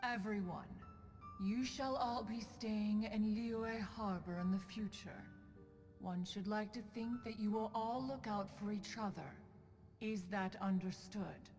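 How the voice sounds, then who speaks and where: A woman's voice: medium pitch, a little slow, darkish tone, in a large hall, soft background music, natural voice. A woman playing a proud, doting immortal elder in a video game, with formal, eloquent and lofty speech. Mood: stately. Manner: formal, lofty, calls herself "one". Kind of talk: video game cutscene voice acting.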